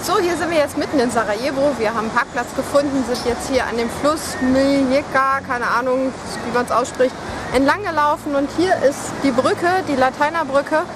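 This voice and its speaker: A woman's voice: high-pitched, normal speed. A woman narrating casually as a travel vlogger, recorded outdoors on a handheld camera. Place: outdoors on city streets and in a market. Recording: outdoor camera sound, music and street bustle. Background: loud music, crowd and street noise.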